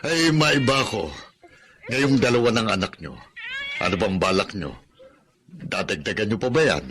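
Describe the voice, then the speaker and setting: A man's voice: on the low side, lively intonation, moderate pace, background noise, natural voice. An actor playing a chatty old grandfather in a radio drama, folksy and full of exclamations. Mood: friendly, inquiring. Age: older. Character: chatty old grandfather visiting his son's family.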